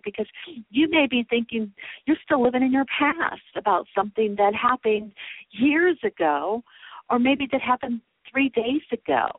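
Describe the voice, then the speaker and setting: A woman leading a guided visualization in a slow, gentle, repetitive coaching manner, speaking casually. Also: a little high, breathy edge, no room sound. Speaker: meditation guide. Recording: dry tinny phone-like sound.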